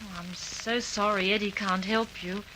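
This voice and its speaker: A woman's voice: mid-range voice, fairly even intonation, normal speed, pushed and bright, a little hiss or hum, lightly acted, rough recording. A woman playing a polite, anxious mother of a grown son in a radio drama. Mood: polite, uneasy. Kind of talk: classic radio drama acting.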